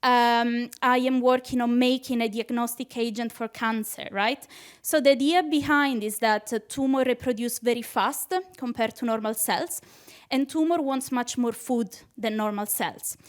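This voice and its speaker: A woman's voice: a little high, steady tone, normal speed, clean tone. A young woman with an Italian accent doing a nervous, chatty stand-up comedy set on a club stage, laughing at her own jokes. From Italy. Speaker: PhD student doing stand-up.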